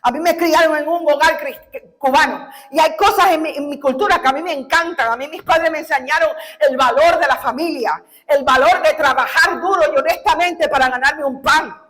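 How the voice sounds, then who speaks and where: High-voiced Cuban woman pastor preaching. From Cuba. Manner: fervent preaching, rising to shouted, tearful peaks; slow, deliberate scripture reading. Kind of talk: sermon.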